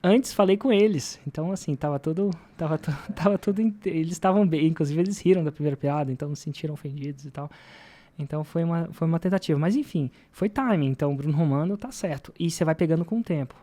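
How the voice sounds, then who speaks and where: A man's voice: a little high, fast talker, mellow. Male podcast host, Brazilian Portuguese accent, informal, anecdotal, repeats words for emphasis. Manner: informal, self-deprecating, repeats phrases for emphasis. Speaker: podcast host and marketing teacher. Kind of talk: casual podcast talk with anecdotes.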